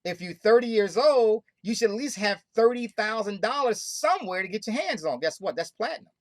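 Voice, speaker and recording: Emphatic woman hosting a money pitch. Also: animated, brisk, no echo. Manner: emphatic, repetitive, preaching. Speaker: host of a money club call. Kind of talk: sales pitch on a group call.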